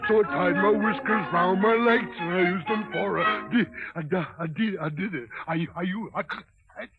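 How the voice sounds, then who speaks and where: A man playing a simple, eager old dwarf in 1930s radio style, halting and repeating his words. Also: sits high. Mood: curious, halting. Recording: tinny 1930s radio broadcast, music behind.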